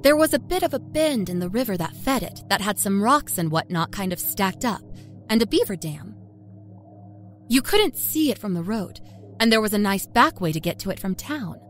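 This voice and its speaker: A woman narrates dark fiction in the first person. Her delivery is expressive and dramatic, with lively pitch movement over a soft musical score. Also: normal speed, soft background music. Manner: expressive, storytelling.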